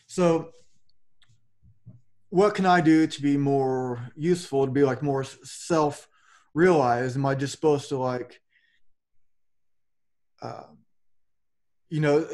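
A man in his forties with a fairly high voice talks slowly over a webcam call. He rambles loosely and drops in casual swear words, like a host thinking out loud. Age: 40s. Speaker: podcast host. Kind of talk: casual philosophical conversation. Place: home room with a plain backdrop. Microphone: webcam on a video call.

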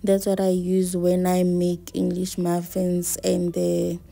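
Slow, mellow woman cooking. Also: on the low side, completely flat delivery, gravelly. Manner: unhurried, chatty, thinking aloud. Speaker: home cook vlogger. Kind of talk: casual cooking narration. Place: home kitchen, indoors.

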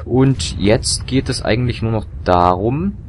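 A young man commentating a video game, chatty and rambling with fillers and self-corrections, his voice a little hoarse and tired. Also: mid-range voice, lively intonation, unhurried, slight background noise. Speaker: let's play commentator. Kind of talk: casual gameplay commentary.

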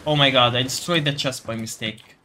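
A man's voice: medium pitch, fairly even intonation, brisk, sharp tone, slight background noise. A man giving a casual gameplay tutorial, explaining steps directly to viewers. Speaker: gaming video creator giving a quest guide.

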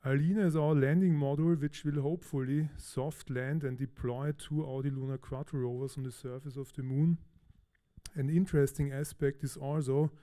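Man from austria, warm mellow voice, conference speaker, relaxed and hesitant, stage mic in a big hall. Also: medium pitch, normal speed. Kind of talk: technical conference talk.

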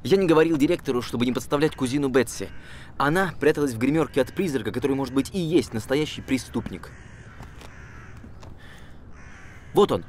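A man's voice: medium pitch, quick pace, a little mellow, slight background noise, lightly acted. An actor playing a cheeky, curious young man in a screen drama, with a defiant colloquial way of talking. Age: young adult. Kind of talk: naturalistic screen drama acting.

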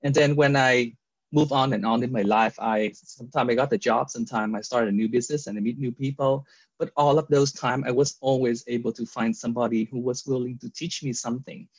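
A man's voice: mid-range voice, fairly even intonation, fairly quick, no room sound. A man from Vietnam, speaking with a Vietnamese accent, tells his personal story as a guest in an interview, earnest, with many fillers and restarts over a video call. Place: indoor room with virtual background. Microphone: video call webcam. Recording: dull lo-fi video call audio.

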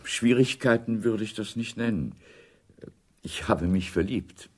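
An actor playing a reserved middle-aged intelligence officer in a German radio drama, restrained, courteous and guarded. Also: male voice, fairly low pitch, measured pace.

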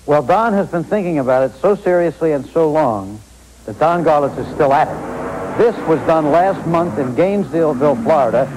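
A man narrating a sports television feature from a script, with measured, dramatic storytelling. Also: fairly high pitch, expressive, noisy background. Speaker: sports documentary narrator and host.

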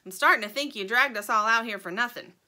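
A woman's voice: fairly high pitch, fast, bright, forceful tone. A woman voicing a bossy, sarcastic female chicken who snaps and wisecracks in a children's story. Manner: snappy, wisecracking.

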